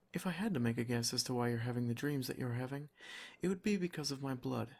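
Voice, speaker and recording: A man playing a doting, protective vampire lord in an ASMR roleplay, soft-spoken, formal and reassuring. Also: medium pitch, close to an everyday voice.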